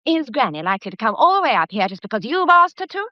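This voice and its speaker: An actress playing a spirited, impatient young granddaughter in a mystery radio drama, forthright and indignant. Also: female voice, fairly high pitch, sing-song, quick pace, no room sound. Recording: dull, muffled radio drama recording.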